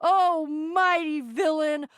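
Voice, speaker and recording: A woman's voice: high voice, animated, moderate pace, no echo, clean studio sound. An actress playing a scrappy, sarcastic young female adventurer in a video game, with a wisecracking, theatrical delivery. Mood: grandiose mock pleading. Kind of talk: video game character dialogue.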